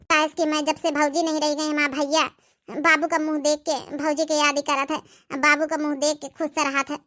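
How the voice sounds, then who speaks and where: Cartoon village sister, young, dutiful and fretful: piercingly high, bright, Awadhi-accented female performer. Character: young village sister, dutiful, caring, worried for baby nephew. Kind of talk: family drama cartoon dialogue.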